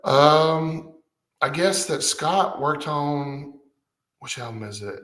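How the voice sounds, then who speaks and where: A man's voice: on the high side, takes it slowly, a bit bright, echoey room. A man in his forties, a guest musician, talking casually and hesitantly with many fillers and restarts. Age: 40s. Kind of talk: casual conversation.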